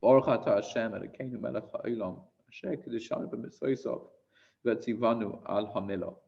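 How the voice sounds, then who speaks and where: A man's voice: lowish voice, brisk, creaky, distant mic. Male reader as resolute young mother, feverishly intense, commanding, in a tragic story; muffled recording. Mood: solemn, resolute.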